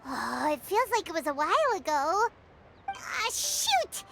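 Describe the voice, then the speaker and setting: A woman voicing a tiny, childlike, excitable and talkative companion in a video game, with a squeaky voice and exclamatory manner. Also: measured pace, vocal fry, very noisy, full character voice. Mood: flustered. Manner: refers to self in third person, exclamatory. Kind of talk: animated video game voice acting.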